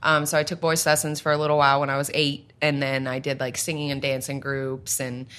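A woman's voice: rumbling low, little pitch movement, a little fast, a bit bright. A young woman, a singer, chatting casually and cheerfully, often laughing between her phrases. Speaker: singer guest. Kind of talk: casual conversation.

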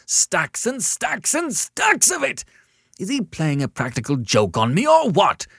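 A querulous novelist in a comic storybook, shrill and high. He wails his grievances emphatically, swinging wildly in pitch as his agitation spills out. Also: sing-song, measured pace, vocal fry, a bit bright, dry recording, studio quality, exaggerated voice. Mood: hysterical. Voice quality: shrill, wailing. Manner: agitated, emphatic, unburdening himself. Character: querulous, hysterical man novelist complaining on the telephone.

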